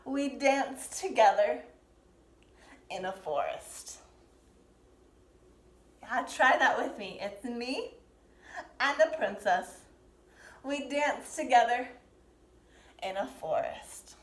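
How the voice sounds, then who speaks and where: A woman teaching dance to young learners, explaining and demonstrating step by step with gentle, encouraging prompts. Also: a little high, takes it slowly, pauses often. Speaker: dance teacher leading a children's pantomime lesson. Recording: roomy, echoing sound.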